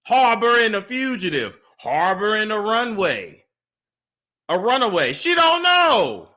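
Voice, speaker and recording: A man's voice: sits high, big pitch swings, unhurried, sharp tone. A young man hosting a gossip commentary show, laughing and mocking the clips he reacts to. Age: young adult. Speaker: commentary host.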